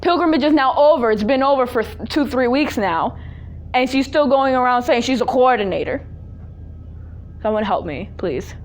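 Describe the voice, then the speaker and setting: High-pitched woman, dramatic comic storyteller. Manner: dramatic, exaggerated, acts out family dialogue; drops Spanish phrases into English. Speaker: storyteller, host of a personal story series. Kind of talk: animated comic personal storytelling, casual chat.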